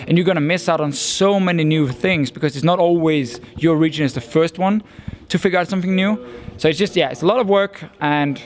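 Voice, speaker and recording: A young man with a Danish accent, an esports caster answering interview questions in an earnest, thoughtful way. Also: a little high. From Denmark. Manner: earnest, thoughtful, with frequent restarts and fillers.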